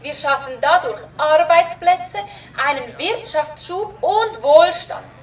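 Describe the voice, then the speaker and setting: A woman's voice: sits high, slow pace, recorded from a distance. A woman playing a proud, formal village official making a ceremonious, pompous public announcement in a children's audio drama.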